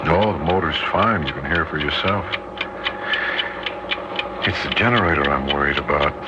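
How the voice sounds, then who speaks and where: An actor in a 1960s radio drama playing a father who keeps his family calm while they shelter underground. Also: extremely low pitch, normal speed. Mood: grim, hushed. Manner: hushed, steady, reassuring. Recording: dull old radio recording with heavy noise.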